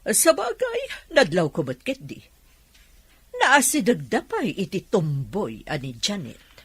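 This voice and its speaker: A woman playing a shrewd, gossipy middle-aged employer in a radio drama, with a husky voice. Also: on the low side, huge pitch swings, slow, no echo, heavily acted. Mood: knowing, gossipy.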